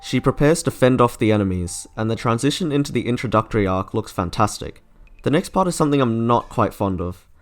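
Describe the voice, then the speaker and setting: A man's voice: medium pitch, animated, a little fast. A man narrating a scripted video essay as its host.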